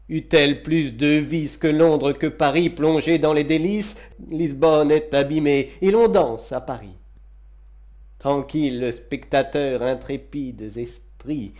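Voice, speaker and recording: A man giving a dramatic recitation of classical verse, with solemn, declamatory and deliberate phrasing. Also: medium pitch, dark tone. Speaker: reader of poetry.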